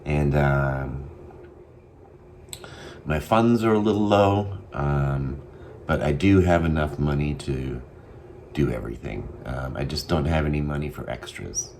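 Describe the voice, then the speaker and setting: A man from Massachusetts with a Boston accent, talking to camera in a casual video diary, halting and candid with many pauses and ums. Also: very deep voice, creaky, a little mellow, a little hiss or hum. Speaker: vlogger.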